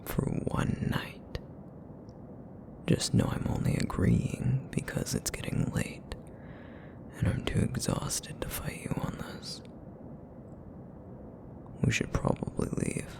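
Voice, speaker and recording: A man voicing a broody, feral essence eater in an ASMR roleplay, with a raspy, rumbling murmur and a weary, guarded, reluctant manner. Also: huge pitch swings, heavily acted. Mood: reluctant, grumbling. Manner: brooding, reluctant, slow and terse.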